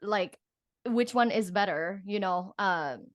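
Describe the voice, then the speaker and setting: A woman's voice: mid-range voice, lively intonation, slow pace, no echo, clean studio sound. A woman from Thailand speaking as an expert guest in a casual interview, informal and peppering her speech with "like". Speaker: guest, professor and sexologist.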